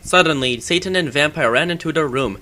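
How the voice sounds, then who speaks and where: A man's voice: fairly high pitch, fairly quick, pushed and bright. A man giving a theatrical, mock-serious comedic reading of fan fiction on an amateur game microphone. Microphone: Rock Band game microphone. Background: music.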